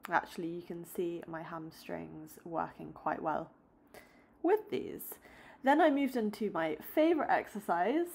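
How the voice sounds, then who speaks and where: Chatty young woman, slightly low voice. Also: measured pace, gravelly, slight background noise. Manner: chatty, upbeat to camera, then calm step-by-step explanation. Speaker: fitness vlogger. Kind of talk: casual vlog chat and workout voice-over. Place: indoors at home, close to a phone camera.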